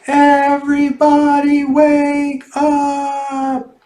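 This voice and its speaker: A man's voice: very high voice, completely flat delivery, dark tone, voice pushed up, full character voice. A man reading a storybook, voicing a cute, bossy little girl who frets and hollers dramatically. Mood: bellowing, drawn-out. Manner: dramatic, drawn-out hollering and fretting. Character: cute little girl, fretful, bossy, loud waker of sleepers. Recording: roomy, echoey sound.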